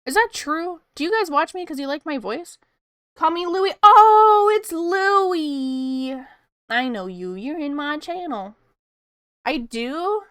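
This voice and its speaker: A woman's voice: high-pitched, lively intonation, slow pace, a bit bright, no echo, clean studio sound. A woman streaming her art, chatting casually and rambling with frequent laughs, in a soothing voice. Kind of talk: casual chat while drawing on stream.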